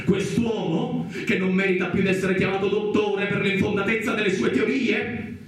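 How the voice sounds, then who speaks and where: An actor playing a pompous, zealous judge in a radio play, declaiming with theatrical, drawn-out emphasis. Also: male voice, sits high, steady tone, soft background music, natural voice. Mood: scornful, mocking. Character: pompous, zealous male judge condemning a heretic. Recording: very echoey, distant from the mic.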